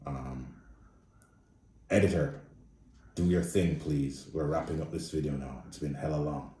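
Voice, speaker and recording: A man's voice: extremely low pitch, fairly even intonation, measured pace, mellow, reverberant, recorded from a distance. A man with a Jamaican accent giving a blunt, profane, casual movie review. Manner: blunt, profane, speaks straight with no filter. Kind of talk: casual opinionated review monologue.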